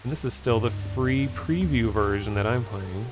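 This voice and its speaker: A man streaming a game, casually answering chat and talking through play, hoarse from losing his voice after hours of talking. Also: medium pitch, normal speed, music in the background. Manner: relaxed, easygoing, answers chat questions. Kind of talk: casual gameplay commentary, reading chat.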